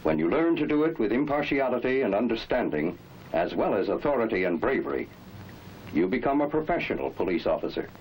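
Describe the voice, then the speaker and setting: A man's voice: medium pitch, big pitch swings, fairly quick, slight background noise, rough recording. A man narrating a 1960s instructional film in an emphatic, authoritative, rhetorical manner. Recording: old 1960s film soundtrack, loud music. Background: loud music.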